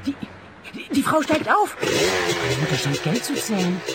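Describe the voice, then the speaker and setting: A woman playing a bewildered detective's client in a radio play, halting and flustered, stumbling over her words. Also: lowish voice, big pitch swings, creaky, heavy background noise, exaggerated voice. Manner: halting, stammering, puzzled. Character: bewildered, flustered grown daughter hiring a detective. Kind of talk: German-language radio play dialogue. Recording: music behind the voice.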